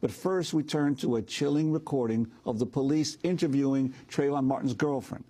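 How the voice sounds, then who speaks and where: Male news anchor, husky and mellow, scripted delivery. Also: mid-range voice, moderate pace. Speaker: news presenter.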